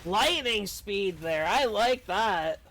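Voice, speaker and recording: A man's voice: high voice, expressive, takes it slowly, a bit bright. A man giving casual live gameplay commentary as a streamer, chatty and reactive. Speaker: game streamer. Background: game music.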